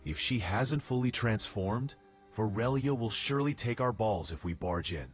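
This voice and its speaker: Guy doing a careful, wry castle soldier, voice of reason, fantasy drama, lively pitch, faint music under it. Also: moderate pace, slightly rough. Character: cautious, wry, level-headed male soldier, the schemer's voice of reason.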